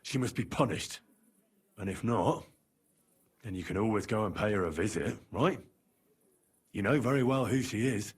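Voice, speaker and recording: Husky, level-headed young medieval adventurer. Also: male voice, fairly low pitch, moderate pace, pauses often. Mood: grave, reasoning. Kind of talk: video game voice acting, dramatic dialogue.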